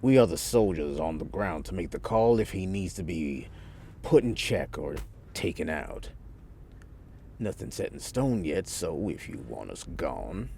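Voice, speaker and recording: A man acts out a hard-nosed operative in a crime audio drama. His low voice has a creaky fry and moves widely in pitch, dry and formal. Faint noise sits behind him. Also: sing-song, a little slow, dark tone, exaggerated voice. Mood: firm, threatening.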